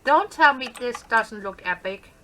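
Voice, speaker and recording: A woman's voice: on the high side, lively intonation, a little slow, pushed and bright. A woman with a Danish accent, a native Danish speaker, chatting casually and playfully as she shows off her build in gameplay commentary. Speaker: gaming channel host.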